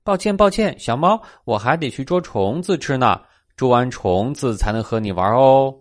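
A man's voice: mid-range voice, expressive, moderate pace, pitched above the performer's own voice, close to an everyday voice. A man voicing a small, busy blue bird in a children's storybook, polite and apologetic.

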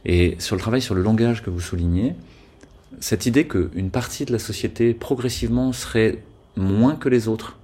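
A man's voice: on the low side, moderate pace, warm tone, slightly rough. A man speaking as an interview guest, arguing earnestly with frequent hesitations. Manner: earnest, argumentative, many hesitations. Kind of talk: conversational interview.

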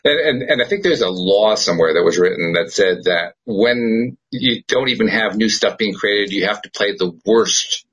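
A man's voice: fairly low pitch, normal speed, hardly any pauses, slightly rough, rough recording. A middle-aged man, host and producer, chatting casually and emphatically, laughing often. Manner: emphatic, animated storyteller who laughs often. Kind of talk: casual chat.

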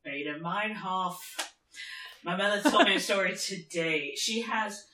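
A man with a bright, forceful, fairly high voice. He talks in a casual co-host style, pushing his opinions with emphasis and restating phrases. The room around him is noticeably echoey. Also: unhurried. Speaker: podcast co-host. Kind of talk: casual TV discussion chat. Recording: roomy, echoey room sound.

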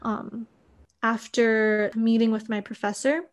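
A woman's voice: a little high, little pitch movement, slow. A young woman from Hawaii telling her personal story in an interview, thoughtful and full of casual fillers. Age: young adult. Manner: thoughtful, many fillers like "like" and "you know".

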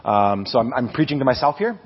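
A man with a loud voice preaching a casual, animated sermon, restarting his sentences often and poking fun at himself. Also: medium pitch, fairly quick, breathy edge, lo-fi sound. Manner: animated, self-deprecating, many restarts. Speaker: preacher and music leader. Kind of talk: conversational sermon.

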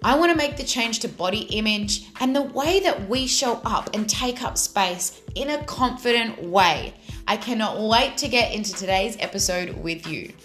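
A woman hosting her own podcast, her voice a little raspy from a lot of talking, speaking in an enthusiastic, motivational and direct way. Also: on the high side, expressive, moderate pace, a bit bright, soft background music. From Australia. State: slightly raspy voice from much talking. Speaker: podcast host. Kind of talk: solo podcast monologue.

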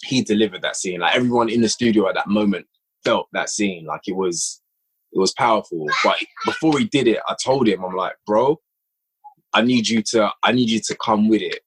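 Low-voiced man, reflective podcast guest. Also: fairly quick, breathy edge, low-quality recording. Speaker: podcast guest, audio drama writer and director. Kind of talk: casual interview chat.

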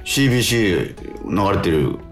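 A man's voice: low-pitched, big pitch swings, unhurried, faint music behind. A man co-hosting a casual radio chat, thinking aloud in a hesitant, repetitive way. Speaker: radio co-host, actor.

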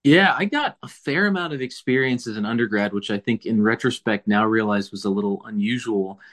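A man's voice: fairly low pitch, fairly quick, a bit bright. A man from Indiana, a biology professor, answering interview questions in a thoughtful, rambling way with frequent restarts. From Indiana, USA. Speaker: guest biologist.

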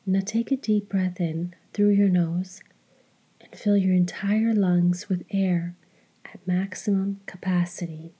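Woman doing guided meditation, really mellow n breathy, hushed, slow with long pauses. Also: lowish voice, fairly even intonation.

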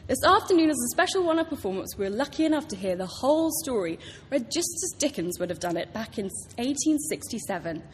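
A woman's voice: on the high side, sing-song, a little fast, few pauses. A British woman author giving a prepared talk to a live audience, telling stories with enthusiasm. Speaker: author introducing a public reading. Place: large hall at a public library event. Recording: reverberant hall, clear background noise.

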